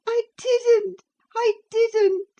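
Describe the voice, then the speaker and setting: A woman voicing a distraught teenage girl who pleads through tears in a high, cracking voice. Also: a little slow, warm tone. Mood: pleading, sobbing.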